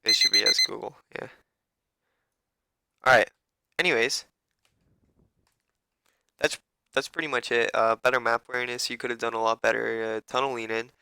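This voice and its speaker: A young man giving a loose, profane, rambling coaching review of a player's game, with a raspy voice. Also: mid-range voice, fairly even intonation, normal speed, long pauses, pushed and bright.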